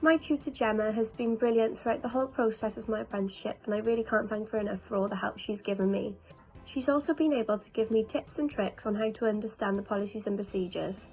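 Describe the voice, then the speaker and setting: Nursery nurse woman reading an earnest testimonial, soft music underneath. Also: medium pitch, fast talker, poor audio. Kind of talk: prepared testimonial.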